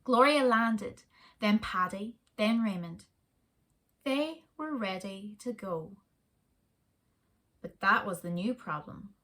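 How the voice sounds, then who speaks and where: A woman with an Irish accent, a volunteer reader, reading a children's story aloud in a steady, expressive way. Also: mid-range voice, slow pace, long pauses.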